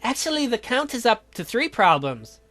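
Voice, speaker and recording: A perky young girl from a horror game, acted out by a woman. The voice is fairly high and lively, chatty and playful, with music underneath. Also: moderate pace. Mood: urgent, chatty. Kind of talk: performed visual novel reading.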